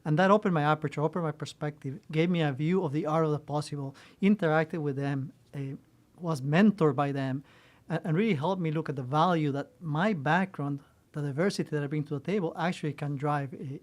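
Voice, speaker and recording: A man's voice: fairly high pitch, fairly quick. A man from Costa Rica in his fifties, an executive answering interview questions in an earnest, loose way with restarts. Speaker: supply chain executive.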